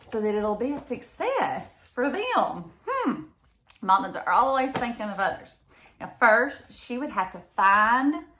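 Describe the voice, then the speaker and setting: A woman reads a picture book aloud to young children in an expressive way, pausing to explain and ask them simple questions. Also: mid-range voice, reverberant. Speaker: read-aloud storyteller for children.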